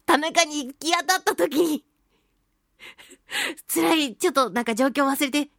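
A woman hosting a casual solo radio talk show, speaking in an expressive, self-deprecating way with drawn-out exclamations. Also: high voice, no room sound, clean studio sound. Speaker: voice actress and singing event MC.

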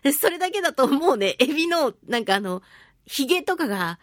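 High, animated, giggly woman radio host. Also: a little fast, dry recording, clean studio sound. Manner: giggly, animated, playful with sound-effect words. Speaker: radio show host, voice actress. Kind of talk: casual solo talk show chat, storytelling.

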